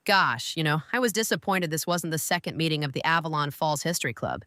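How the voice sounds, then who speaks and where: An actress playing a gracious older woman, a grandmother and retired lawyer, talking in a measured, welcoming way. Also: low-pitched, pushed and bright, no room sound, studio quality. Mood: calm. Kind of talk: audio drama acting.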